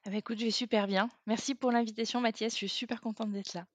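A woman's voice: fairly high pitch, steady tone, fast talker, dry recording. Chatty woman in her thirties, dry sound. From south of France. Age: 30s. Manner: chatty, many fillers and restarts. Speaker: guest. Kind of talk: casual interview talk.

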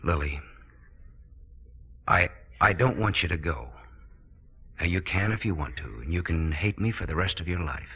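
An American actor playing an earnest, gentle theater director in 1940s radio style, with halting, hesitant phrasing. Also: male voice, deep voice, breathy edge. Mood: earnest, pleading. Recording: muffled 1940s radio broadcast.

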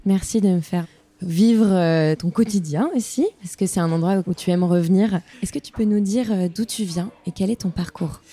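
A woman hosts an interview podcast in a low, very mellow voice. She asks gentle, measured questions, recorded in a café-restaurant. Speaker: podcast host.

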